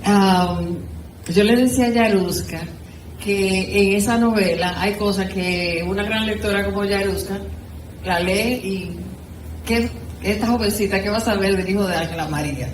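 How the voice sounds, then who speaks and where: Woman, mid-pitched, informal reader's reflection, noisy echoing room. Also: normal speed. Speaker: book club participant. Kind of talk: informal spoken reflection at a literary gathering. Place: echoey room at a live group gathering. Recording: echoey room, clear background noise.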